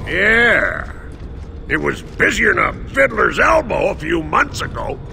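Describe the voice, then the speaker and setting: High, gravelly old salt. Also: male voice, wildly swooping pitch, a little slow, exaggerated voice. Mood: wry. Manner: folksy, salty, colorful. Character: folksy gruff bearded ex-sailor soldier driver, male, middle-aged. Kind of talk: video game cutscene acting. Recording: music and vehicle noise behind.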